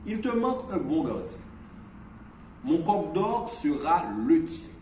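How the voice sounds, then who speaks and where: A man voicing a wise, demanding storybook mage in a declamatory, deliberate manner. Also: fairly high pitch, big pitch swings, a little mellow. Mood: solemn promise. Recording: echoey room, distant mic, faint noise.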